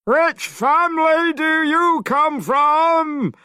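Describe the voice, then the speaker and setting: A man's voice: very high voice, extremely slow, bright, forceful tone, no echo, clean studio sound, pitched above the performer's own voice, full character voice. A man voicing a horrible, menacing monster king who eats children, in a storybook reading. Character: horrible male monster king, fire-eyed, child-eating.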